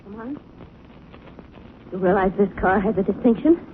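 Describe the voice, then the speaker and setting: A woman's voice: medium pitch, expressive, normal speed, lightly acted. An actress playing a sleuth's apprehensive lady companion in theatrical 1940s radio style. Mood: jittery. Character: nervous lady companion of a sleuth, spooked by ghosts. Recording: very hissy, phone-like 1940s radio recording.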